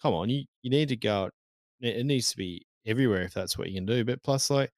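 A man's voice: mid-range voice, fast talker, no room sound, studio quality. A man, co-hosting a casual podcast chat, blunt and sweary. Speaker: podcast co-host.

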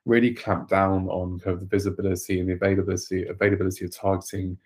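A man from Wales with a Welsh accent, a guest explaining his work in a relaxed way with some stumbles. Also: low-pitched, quick pace. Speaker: guest, agency director. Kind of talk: casual interview talk.

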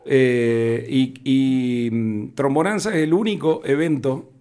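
A man's voice: medium pitch, slow pace, dark tone, clear, resonant tone. A man with an Argentine Spanish accent, speaking conversationally as a festival founder being interviewed on radio.

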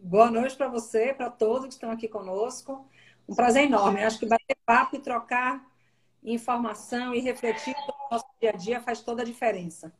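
A Bahian woman in her forties joins an online interview by video call. Her voice sits a little high, and she answers in a composed, friendly way in full sentences. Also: fairly quick, low-quality recording. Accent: Bahian Portuguese. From Salvador, Bahia, Brazil. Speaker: HR executive, guest.

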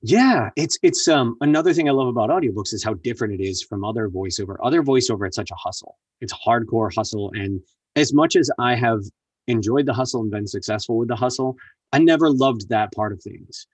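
A man's voice: mid-range voice, brisk, breathy edge, no room sound. A man who works as a voice actor, talking in a casual interview and telling his story earnestly, repeating words for emphasis. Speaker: voice actor guest. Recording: distant mic, dry sound.

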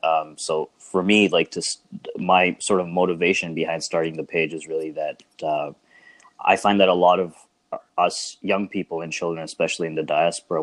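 Fast-talking young man with a deep voice. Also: no echo. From Bangladesh, raised in Canada. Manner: relaxed, thoughtful, many fillers. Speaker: podcast guest. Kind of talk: casual podcast chat.

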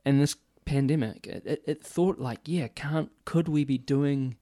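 A man's voice: on the high side, measured pace, warm tone, dry recording, clean studio sound. A man in his forties working in healthcare, talking thoughtfully in a casual chat, often restarting his sentences. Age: 40s. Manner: thoughtful, restarts a lot, talks with his hands. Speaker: healthcare worker. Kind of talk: casual conversation. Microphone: boom mic.

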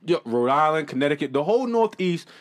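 Brief: man, light Boston accent, high, swooping delivery, loud and profane chatter. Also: fast talker. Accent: light Boston, New England. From Boston area, New England. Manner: loud, profane, animated storyteller. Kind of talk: casual podcast banter.

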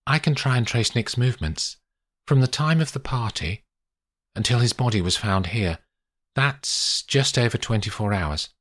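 A composed woman lawyer in an audio mystery story, as a man plays her, with a lifted pitch. She is tactful but direct, choosing her words with polite precision. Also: animated, normal speed, fairly bright tone. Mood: businesslike.

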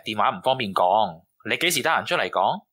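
A man's voice: lowish voice, expressive, brisk, lightly acted. A man acting a cagey, streetwise informant in a radio drama, using slangy Hong Kong Cantonese. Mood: cagey, knowing.

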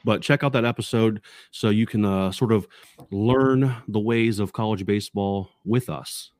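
A man co-hosting a casual sports podcast in an upbeat, excited way, recorded in a basement home studio. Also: fairly low pitch, moderate pace, no echo. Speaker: podcast co-host.

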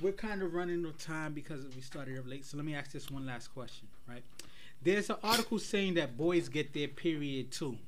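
Male podcast host, high voice, halting repeats, casual panel talk. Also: animated, crowd noise. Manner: halting, repeats words while steering questions.

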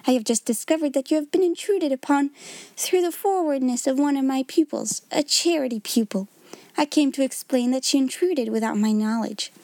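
A woman's voice: high-pitched, fairly quick, warm tone, no echo. A woman voicing a stern, pompous middle-aged schoolmistress who speaks with rigid, indignant politeness. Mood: stiffly formal.

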